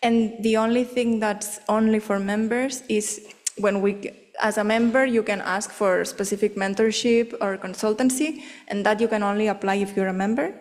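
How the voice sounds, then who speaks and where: A woman's voice: medium pitch, fairly even intonation, moderate pace, darkish tone. A woman giving a conference presentation and answering questions, talking in a hesitant way with many fillers. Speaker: symposium presenter. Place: conference hall. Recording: long hall reverb.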